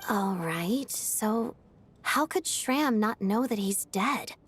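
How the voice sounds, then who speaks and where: A sceptical, mid-pitched game character. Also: female voice, lively intonation, slow, husky, soft background music. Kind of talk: scripted game dialogue.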